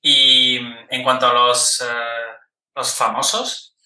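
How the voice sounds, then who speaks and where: A man hosting a casual interview, speaking in a relaxed, thoughtful way with frequent hesitations. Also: mid-range voice, slow pace. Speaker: podcast host interviewer. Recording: echoey room, distant mic.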